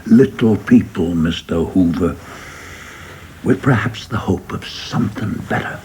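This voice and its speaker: An actor in a radio play playing a seasoned, humane government official, questioning deliberately with gentle scorn and pauses. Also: male voice, deep voice, slight background noise. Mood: indignant, probing.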